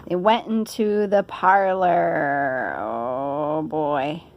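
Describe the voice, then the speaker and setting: A woman's voice: sits low, very slow, muffled recording. A woman reading a children's story aloud, playfully, with chatty asides. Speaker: storybook reader. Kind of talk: animated children's story narration.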